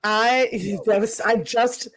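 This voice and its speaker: A man's voice: high-pitched, fairly even intonation, takes it slowly, over a noisy crowd. A man in casual podcast chat, blunt and teasing.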